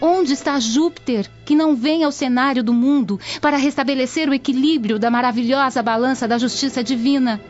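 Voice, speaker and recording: An actress playing a devout young Roman woman in a radio drama. Her voice is fairly high, delivering earnest, declamatory speeches with reverence. Slightly muffled sound, faint music behind. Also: close to an everyday voice. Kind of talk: radio soap opera acting. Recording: dull lo-fi audio with faint music.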